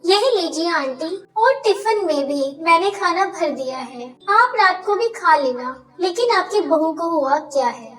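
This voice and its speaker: A woman's voice: very high-pitched, lively intonation, unhurried, hardly any pauses, a bit bright, recorded from a distance, faint music behind, heavily acted. A woman voicing a respectful, caring young daughter-in-law in a cartoon story, polite and earnest. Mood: concerned.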